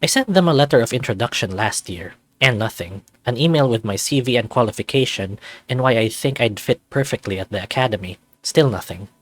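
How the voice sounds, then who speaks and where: A man's voice: medium pitch, lively intonation, brisk, lightly acted. A man voicing a sarcastic, anxious young furry student in a visual novel, narrating in a wry, self-deprecating, conversational way. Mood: wry musing. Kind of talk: visual novel character voice-over.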